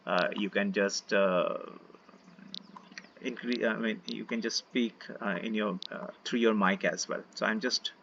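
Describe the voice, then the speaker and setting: A man with an Indian accent teaching a casual online session about peace and mindfulness, gentle and full of fillers. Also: lowish voice, creaky, highs cut off, a little hiss or hum. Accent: Indian English. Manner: relaxed, gentle, many fillers, invites listeners to join in. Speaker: meditation teacher.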